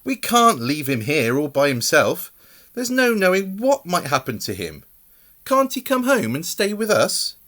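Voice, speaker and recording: Man voicing a higher-pitched, caring storybook mother. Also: wildly swooping pitch, a little slow, a bit bright, exaggerated voice. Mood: pleading. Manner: exclamatory, caring, takes charge. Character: kindly, decisive motherly woman.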